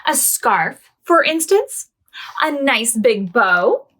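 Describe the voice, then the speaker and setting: A woman reads a picture book to preschoolers at a gentle, unhurried pace. Her voice sits high and swoops up and down as she coaxes the children along. It is the warm sing-song of library storytime. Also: sing-song.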